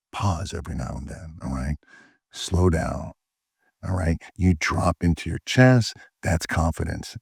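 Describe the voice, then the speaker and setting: A man with a deep voice giving dating advice straight to the camera, emphatic and often checking in with "all right?". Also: a little mellow, no echo, studio quality. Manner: fillers and restarts. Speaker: dating coach. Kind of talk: direct-to-camera advice talk.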